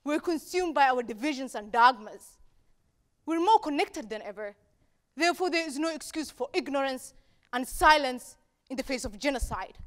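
A young woman from Somalia delivers a prepared talk from a dark auditorium stage. Her voice sits high, and she leans on repeated phrases with measured pauses between them. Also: expressive. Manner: rhetorical repetition, deliberate pauses. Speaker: speaker at a campus talk event. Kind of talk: prepared public speech.

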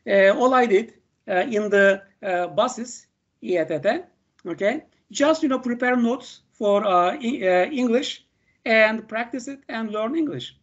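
Older Turkish professor, non-native English, lecturing over a video call, halting delivery full of fillers, dull lo-fi sound. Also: male voice, high-pitched, animated. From Turkey. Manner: halting, many "uh" fillers and "okay" checks. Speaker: university professor. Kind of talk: online lecture.

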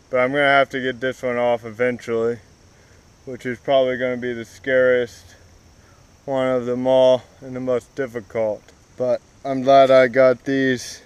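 A young man explaining his tree cutting as he goes, in a halting casual way with many fillers and restarts, recorded outdoors in a wooded yard on an action camera. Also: mid-range voice, clear, resonant tone, no echo. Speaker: vlogger. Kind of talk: casual explanation while working. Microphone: action camera on the ground.

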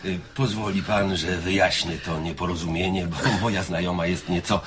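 Low-voiced, apologetic, hesitant man played by an actor. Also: fairly even intonation, normal speed, background noise, lightly acted. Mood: embarrassed. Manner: hesitant, polite. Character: apologetic male friend smoothing over an embarrassment. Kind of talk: scripted audio drama acting.

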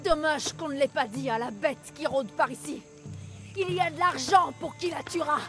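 A woman's voice: sits high, moderate pace, fairly bright tone, soft background music. An actress playing a wounded young female smuggler in a French game dub, weary and sardonic. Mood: bitter, wary.

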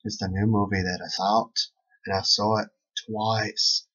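A man's voice: on the low side, level tone, measured pace, a bit bright, slightly rough. A man in his forties giving a casual, chatty review, often repeating his phrases for emphasis. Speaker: vlogger reviewing a film. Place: small bedroom, indoors. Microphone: webcam at arm's length. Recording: dull, lo-fi webcam sound.

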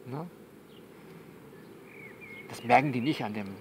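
A man playing a soothing but bossy confidant who coaches someone by phone in an audio drama, halting and repeating himself as he instructs. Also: medium pitch, slight background noise, natural voice. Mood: bossy, coaxing. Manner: halting, repetitive, instructive, reassuring. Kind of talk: one-sided phone conversation in an audio novel.

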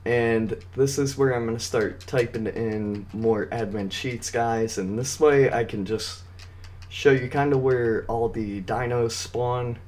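A man's voice: medium pitch, steady tone, takes it slowly, a little mellow. A man with a slightly scratchy voice hosting a casual, step-by-step video game walkthrough. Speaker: gaming video guide host.

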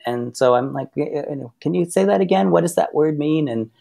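A man's voice: fairly high pitch, fairly quick, vocal fry, mellow. Easygoing, talkative Massachusetts-raised man. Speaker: podcast guest. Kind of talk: casual interview chat.

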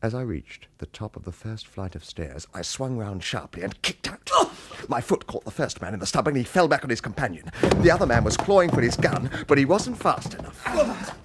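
A man's voice: mid-range voice, expressive, fairly quick, slight background noise, lightly acted. An actor playing a wry, world-weary British retired hitman who also narrates a radio crime drama. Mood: tense, dry. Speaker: lead actor and first-person narrator.

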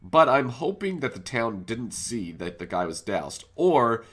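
A man's voice: medium pitch, big pitch swings, a little slow, fairly bright tone, husky. A man with a congested, cold-stuffed voice casually commenting on his own game as host, thinking aloud as he plays. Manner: chatty, thinks aloud while playing. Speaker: gaming channel host. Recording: faint music bed underneath.

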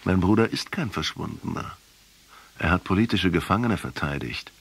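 A man acting in a radio drama as an earnest, principled Argentine professor who argues deliberately and accusingly. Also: low-pitched, expressive, gravelly, slightly rough, exaggerated voice. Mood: sombre, recounting. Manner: deliberate, argumentative. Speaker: radio play actor.

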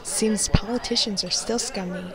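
Woman doing a cheeky blunt sidekick, normal pitch, party chatter in the background. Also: fairly even intonation, normal speed, natural voice. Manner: blunt, teasing. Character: blunt cheeky young woman companion from 20th century Earth.

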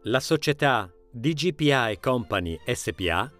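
A male audiobook narrator with a medium-pitched voice reads a prepared script. His delivery is measured and theatrical, with very lively, varied intonation. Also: faint music behind.

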